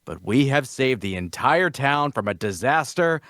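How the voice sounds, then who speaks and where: A man's voice: mid-range voice, lively intonation, measured pace, a bit bright, no echo, clean studio sound. A man playing a boastful, grandiose fantasy adventurer who declaims formally, as in a theatrical table read. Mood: triumphant, grandiose.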